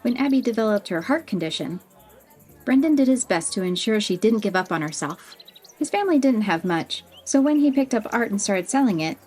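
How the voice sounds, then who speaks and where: A woman narrates a fantasy audiobook with an expressive, steady reading. Her voice is mid-pitched and a little breathy. Faint music plays beneath her. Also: fairly quick. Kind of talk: scripted storybook narration.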